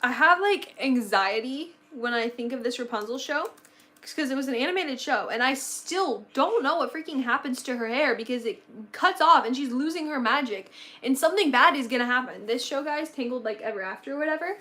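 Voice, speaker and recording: A woman's voice: high voice, expressive, a little fast, bright, forceful tone. A young woman in her late teens chatting casually on a livestream, bubbly and rambling, her voice a little worn. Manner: bubbly, gushing, rambling with many fillers. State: slightly losing her voice. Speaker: livestream host.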